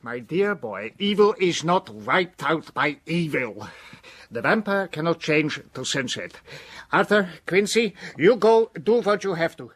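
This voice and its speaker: Earnest professor, very animated. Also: male voice, fairly high pitch, measured pace. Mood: grave. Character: earnest, fatherly professor and vampire hunter. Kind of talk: gothic audio drama acting.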